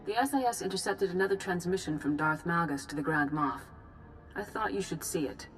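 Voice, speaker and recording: A woman playing a grave, composed Jedi master in a video game, giving formal briefings with measured authority. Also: lowish voice, lively intonation, a bit bright, soft background music, poor audio. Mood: grave, urgent. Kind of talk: formal dramatic mission briefing.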